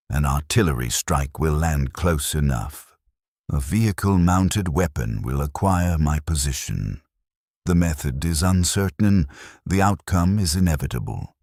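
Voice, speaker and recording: A war-numbed veteran heavy gunner played by a man tells his story in a rock-bottom, raspy voice. The delivery is grim and resigned, yet the pitch moves expressively through the deliberate dramatic narration. The sound is close and dry. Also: dry recording, full character voice. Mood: cold, fatalistic. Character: war-numbed veteran male heavy gunner, scarred, fatalistic, dystopian sci-fi soldier. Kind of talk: scripted first-person dramatic narration.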